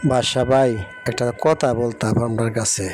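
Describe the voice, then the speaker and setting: Mellow-voiced humble working father played by a man. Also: mid-range voice, steady tone, moderate pace, a little hiss or hum, close to an everyday voice. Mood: humbly pleading. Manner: deferential, earnest. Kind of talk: cartoon drama dialogue.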